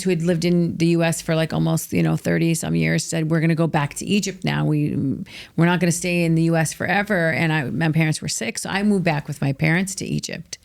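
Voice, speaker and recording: Middle-aged American woman guest, lowish voice, emphatic storyteller, lots of "like". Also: creaky. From United States. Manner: energetic, emphatic, filler-heavy with frequent "like". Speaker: guest, author. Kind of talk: candid storytelling interview.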